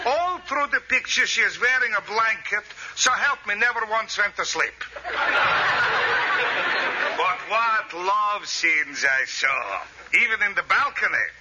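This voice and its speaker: A man voicing an excitable old male piano teacher with a thick foreign accent and broken English, in a 1940s radio comedy. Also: high voice, unhurried. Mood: gossipy. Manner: giggly, broken English, rambling. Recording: noisy lo-fi old radio recording.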